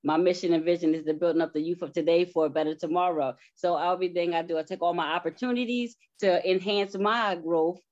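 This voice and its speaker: A woman's voice: fairly low pitch, steady tone, fast talker, hardly any pauses, a little mellow. A middle-aged woman speaking casually as a guest in an interview. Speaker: interview guest, stage play cast member. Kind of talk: casual self-introduction and chat. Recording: dull lo-fi audio.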